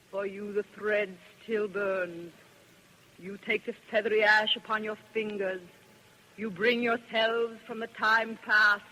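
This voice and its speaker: An actress playing a newly dead woman risen to prophesy, sorrowful and solemn, in halting radio verse. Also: mid-range voice. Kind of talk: 1930s radio verse drama acting. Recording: bright, noisy old radio recording.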